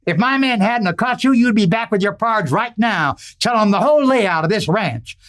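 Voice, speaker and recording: A man's voice: high-pitched, expressive, moderate pace, a bit bright. A man playing a worried, hot-tempered rancher with a rustic cowboy drawl in a Western radio drama. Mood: angry, suspicious.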